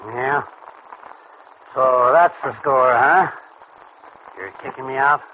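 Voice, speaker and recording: A man's voice: mid-range voice, takes it slowly, close to an everyday voice. Man playing a slangy, tough small-time crook. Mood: suspicious, stung. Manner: blunt, slangy, uneducated hoodlum talk. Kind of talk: old radio serial acting. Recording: dull old radio recording, faint noise.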